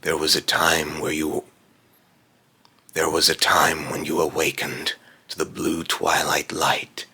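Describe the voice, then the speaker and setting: A man plays a brooding spirit from beyond, solemn and otherworldly. The voice is extremely low, with a hard, forceful edge. Each phrase comes slowly and deliberately, rasping as it goes. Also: monotone, exaggerated voice. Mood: wistful, brooding. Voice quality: raspy. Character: Phobos, brooding otherworldly male spirit of fear and death. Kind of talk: voice line recording with retakes.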